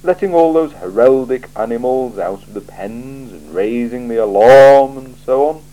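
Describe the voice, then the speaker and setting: A man voicing a cool, sardonic male city ruler in an audiobook, speaking in a slow, measured, dry and probing way. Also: medium pitch, dull audio, pitched above the performer's own voice, lightly acted. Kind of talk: audiobook character voice.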